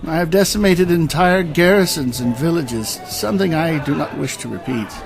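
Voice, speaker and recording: A man acts out an honorable old immortal warrior in a fantasy audio drama. His delivery swings widely in pitch, with formal, stately phrasing and weary regret, and music plays behind him. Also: animated, moderate pace, gravelly. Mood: solemn regret.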